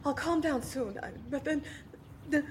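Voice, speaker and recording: An actress playing a heartbroken young wife who speaks in broken, wandering phrases and half-sings a verse. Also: female voice, sits high, unhurried, slight background noise. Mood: dazed grief. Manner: broken, wandering speech, half-sung recitation.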